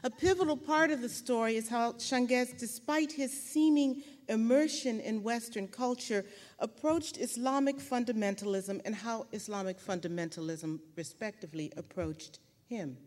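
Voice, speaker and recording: A woman's voice: fairly high pitch, a little mellow. Formal woman presenter in a hall. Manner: formal, measured, literary. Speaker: award presenter. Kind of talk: reading a prepared presentation speech. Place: large hall at an award ceremony. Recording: echoey hall reverb.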